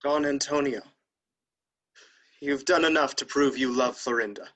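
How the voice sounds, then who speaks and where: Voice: mid-range voice, measured pace, a bit bright. A performer playing a proud, domineering young nobleman and stern brother in a period comedy, speaking formally. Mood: formal, resolved. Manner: formal, imperious. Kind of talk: theatrical Restoration play acting.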